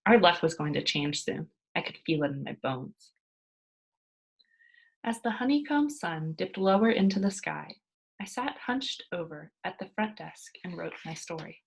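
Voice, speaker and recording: A woman reading a storybook aloud as a teacher, expressive and emphatic, with dramatic hushed moments. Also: lowish voice, a little slow, vocal fry, breathy edge, low-quality recording.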